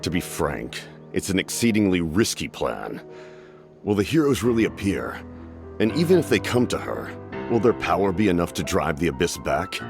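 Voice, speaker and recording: Very deep, grave commanding captain. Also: male voice, sing-song, vocal fry, music in the background, exaggerated voice. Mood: grave, ominous. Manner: formal, measured, persuasive, solemn. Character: grave, commanding male military captain, calculating and courteous. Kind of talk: English game voice acting.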